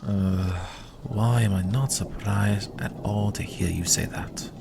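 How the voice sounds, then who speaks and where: Guy doing a commanding vampire for asmr, dry wit, slow delivery, possessive, faint noise behind him. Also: lowish voice, fairly even intonation, creaky. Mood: wry, relenting. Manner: sardonic, commanding. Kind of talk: ASMR roleplay audio drama.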